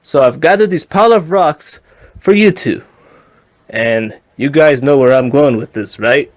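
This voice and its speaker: A man voicing a patient, wise Jedi master who instructs pupils deliberately, with dramatic pauses, in an amateur fan story. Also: medium pitch, natural voice. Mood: firm instructing.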